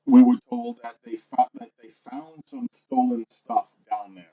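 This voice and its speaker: Man, Rhode Island accent, animated and jokey player, dull lo-fi sound. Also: mid-range voice, unhurried. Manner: lively, joking, often quiet and trailing off. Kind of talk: casual tabletop game chat. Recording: dull lo-fi stream audio.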